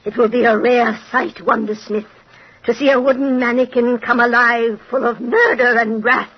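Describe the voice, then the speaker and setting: A woman's voice: on the high side, flat delivery, moderate pace, breathy edge. An actress playing a slyly gleeful, wicked old fortune-telling woman in an old-time radio horror drama, sardonic, taunting and theatrical. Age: older. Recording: muffled, noisy old radio recording.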